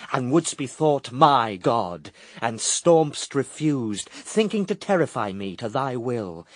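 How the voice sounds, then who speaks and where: A resolute holy man speaks radio-drama verse at a slow pace and middle pitch. He is calm, dignified and firmly rebuking, in a dry recording. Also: no room sound. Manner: measured, dignified, firm.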